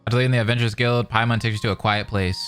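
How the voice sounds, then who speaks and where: Casual, expressive male gaming streamer. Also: lowish voice, very fast, music in the background. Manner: colloquial, expressive. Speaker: gaming streamer reacting to a story quest. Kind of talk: casual reaction commentary.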